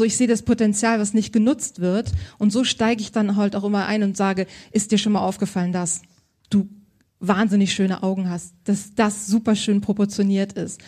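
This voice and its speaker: A woman's voice: medium pitch, darkish tone. A woman working as a style consultant, answering interview questions in an enthusiastic, colloquial way.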